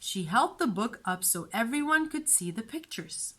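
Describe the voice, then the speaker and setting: A woman storyteller narrating a children's storybook in an expressive, deliberate way. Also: fairly high pitch.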